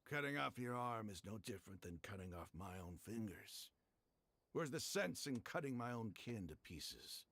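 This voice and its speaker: Gravelly desert bandit chief. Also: male voice, fairly low pitch, very animated, moderate pace, fairly bright tone, exaggerated voice. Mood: relenting. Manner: commanding, taunting. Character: gruff desert bandit leader, harsh but honorable.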